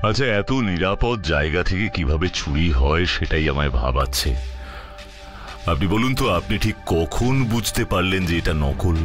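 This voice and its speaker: A man voicing a calm, commanding superhero detective in a children's cartoon, questioning in a measured, assured way. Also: sits low, faint music behind. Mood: inquisitive, assured.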